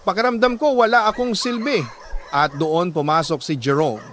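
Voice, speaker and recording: A man reads a letter as a radio drama host, telling it in a measured, sentimental way with pauses between short phrases. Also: sits high, a bit bright, slight background noise. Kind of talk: dramatic first-person storytelling, scripted narration.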